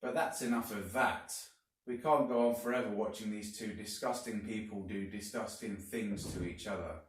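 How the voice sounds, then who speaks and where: A man reading a children's story aloud like a teacher, deliberately and with dramatic emphasis. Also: lowish voice. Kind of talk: expressive storybook narration. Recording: very echoey room, distant mic.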